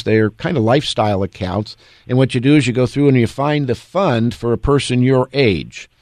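A man's voice: mid-range voice, a little mellow, no room sound, clean studio sound. A man in his sixties, an attorney hosting a call-in radio advice show, upbeat and folksy with easy jokes. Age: 60s.

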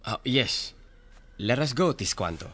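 Meek, slow monastery helper. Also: male voice, mid-range voice, lively intonation, fairly bright tone, husky. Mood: flustered, meek. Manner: hesitant, deferential. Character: meek, flustered, obedient Spanish monastery helper. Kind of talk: radio drama acting.

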